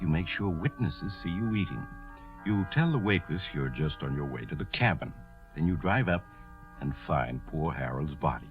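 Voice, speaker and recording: Sinister storyteller, very low, sardonic narration, music behind, tinny 1940s radio recording. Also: male voice. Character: mysterious, sinister male storyteller, sardonic and insinuating. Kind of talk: dramatic second-person 1940s radio narration.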